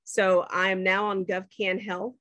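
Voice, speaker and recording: A woman's voice: mid-range voice, level tone, a little slow, a bit bright, no echo. Chatty woman teaching a class. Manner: conversational, checks in with students. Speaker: professor. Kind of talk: screen-share class demo.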